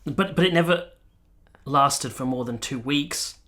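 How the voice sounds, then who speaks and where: A male actor plays a lovesick young captain in a radio play, brooding and earnest. His voice is bright and forward, sometimes hesitant, as he narrates and speaks his heart. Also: mid-range voice, a little slow, close to an everyday voice. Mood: nervous. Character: lovelorn, brooding young Italian army captain, earnest and self-pitying. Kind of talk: radio drama acting with first-person narration.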